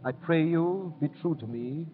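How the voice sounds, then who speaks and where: An actor playing an earnest, composed gentleman with a measured, formal manner in a classic radio drama. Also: fairly high pitch, natural voice. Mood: grave, pleading. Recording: tinny, faintly noisy vintage radio recording.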